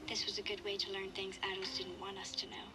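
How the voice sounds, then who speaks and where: A woman's voice: mid-range voice, monotone, fast talker, background noise, natural voice. A woman playing a thoughtful young girl who recounts survival in a reflective, subdued way, as audio drama narration. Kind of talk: scripted first-person audio drama narration.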